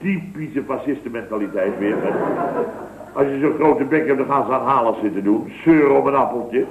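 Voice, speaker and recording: A man's voice: mid-range voice, normal speed. A man telling a rambling, mock-pompous hunting anecdote with a dry delivery in a scripted radio comedy sketch. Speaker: comic storyteller. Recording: tinny hissy old radio broadcast, roomy.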